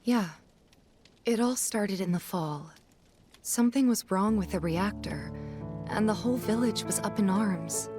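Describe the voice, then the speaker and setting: A woman's voice: mid-range voice, measured pace, mellow, close to an everyday voice. An actress playing an earnest young village woman in a video game cutscene, understated and sincere. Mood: somber recounting. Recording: music behind the voice.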